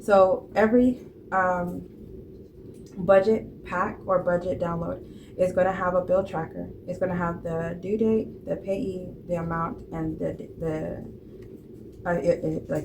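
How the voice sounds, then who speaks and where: Low-voiced chatty woman vlogger. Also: level tone, unhurried, vocal fry, background noise. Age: 30s. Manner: rambling, chatty, many fillers and restarts. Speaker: budgeting vlogger. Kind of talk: casual chat to camera.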